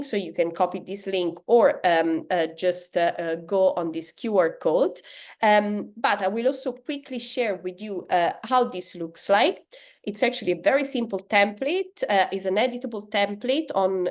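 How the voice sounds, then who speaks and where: Italian-accented woman, sales trainer, casual lesson delivery, acts out sample dialogues. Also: medium pitch. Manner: conversational, lots of "right?" and "uh" fillers, acts out sample dialogues.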